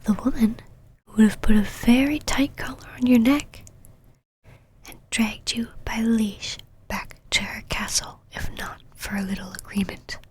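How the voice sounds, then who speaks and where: A woman's voice: a little high, natural voice, poor audio. Whispering, possessive vampire knight, acted by a woman. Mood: stern, condescending. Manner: whispered, slow condescending endearments and commands. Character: possessive, imperious female vampire knight, teasing and controlling. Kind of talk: ASMR roleplay monologue.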